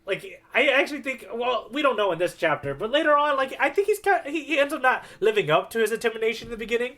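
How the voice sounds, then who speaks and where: A man's voice: very high voice, sing-song, fast, pushed and bright. A man co-hosting a podcast, chatting casually in a rambling, enthusiastic way. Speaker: podcast co-host. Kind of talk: casual chat.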